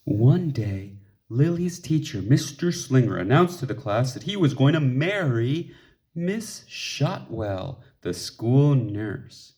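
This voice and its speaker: A man reading a children's picture book aloud in an expressive storytelling way. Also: medium pitch, slow pace. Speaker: storybook reader.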